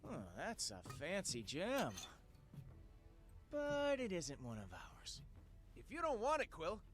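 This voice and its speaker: An actor playing a playful, breezy hero in a video game cutscene. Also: male voice, high voice, huge pitch swings, slow, over music, heavily acted.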